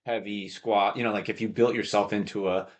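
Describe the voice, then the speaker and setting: Male podcast host, deep voice, chatty and encouraging, kinda talks like a coach. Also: fairly even intonation, fairly quick. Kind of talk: casual conversational interviewing.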